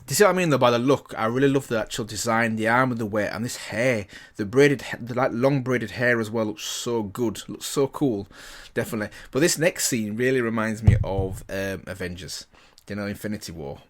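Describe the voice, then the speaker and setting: A man's voice: fairly low pitch, brisk. A man giving casual, enthusiastic reaction commentary as a host, often repeating himself for emphasis. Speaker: host of a reaction and review channel.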